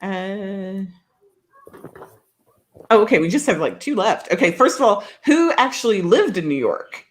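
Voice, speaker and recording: A woman's voice: medium pitch, sing-song, measured pace, pauses often. A woman with a Texan accent co-hosting a podcast, chatting in a dry, easygoing way and laughing often. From Texas. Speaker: podcast co-host. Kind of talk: casual chat over a video call.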